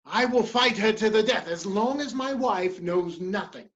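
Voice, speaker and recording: A woman's voice: medium pitch, measured pace, lo-fi sound, lightly acted. A woman playing a proud, ambitious male politician in a dramatic play reading, speaking with theatrical emphasis and defiance. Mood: anxious, resolute.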